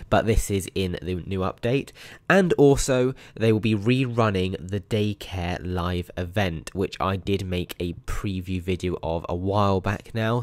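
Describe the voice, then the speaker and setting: A young man with a hoarse, croaky voice from a sore throat, chatting upbeat through a mobile game walkthrough with plenty of ums. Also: sits low. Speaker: mobile game channel host. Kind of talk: casual gameplay walkthrough commentary.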